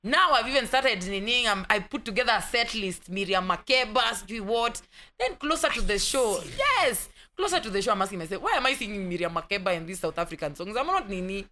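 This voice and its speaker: A woman's voice: mid-range voice, big pitch swings, brisk, sharp tone, a little hiss or hum. A young woman with a Kenyan accent, a guest in a casual interview, telling her stories with animation, dropping in Swahili words and acting out other people's voices. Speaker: actress guest.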